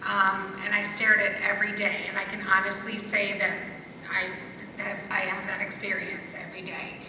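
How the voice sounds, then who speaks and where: A woman's voice: completely flat delivery, normal speed. A middle-aged woman talking informally as a panelist in front of a theater audience. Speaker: panelist, social worker. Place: school theater stage, seated at panel table. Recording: echoey hall, far off, noisy rumble.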